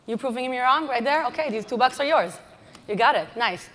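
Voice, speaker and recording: A woman's voice: fairly high pitch, lively intonation, brisk, a bit bright. A woman in her thirties from Israel, with an Israeli accent, giving a playful, audience-teasing conference presentation on a large stage. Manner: playful, teasing the audience with flight-attendant jokes. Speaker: conference speaker. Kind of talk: lively keynote presentation. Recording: hall reverb.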